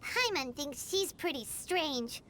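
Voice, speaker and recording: A woman voicing a tiny, excitable, childlike fairy companion in an anime video game, squeaky and exclamatory. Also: slow pace, slight background noise, heavily acted. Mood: chatty. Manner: speaks of self in third person, exclamatory.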